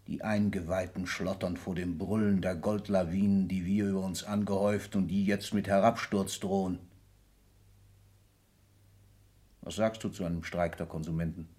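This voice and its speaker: A man's voice: deep voice, fairly even intonation, fairly quick, few pauses, warm tone, slightly rough. An older man acting in a German radio play as a disillusioned, commanding industrialist patriarch with an emphatic, theatrical delivery. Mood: grave.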